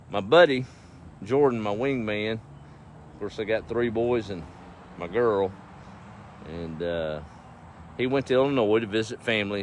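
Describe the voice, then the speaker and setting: A middle-aged man with an East Texas accent chatting casually on a farm livestream, folksy and easygoing, talking to his animals and viewers. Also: lowish voice. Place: outdoors on a farm. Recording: faint outdoor background noise.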